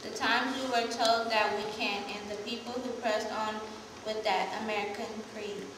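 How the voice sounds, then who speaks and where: A woman's voice: fairly high pitch, steady tone, moderate pace. A young woman reading a political speech aloud to a congregation. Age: young adult. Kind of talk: read-aloud political speech at prayer service. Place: large church, indoors. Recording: echoey, noisy church hall from far off.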